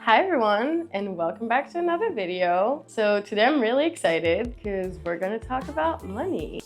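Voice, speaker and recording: Slightly hoarse, chatty young woman vlogger. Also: medium pitch. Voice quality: raspy. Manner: chatty, upbeat, lots of fillers. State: slightly hoarse from recent illness. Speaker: personal finance vlogger. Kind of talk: casual chat to camera. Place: at home, seated with laptop and tea.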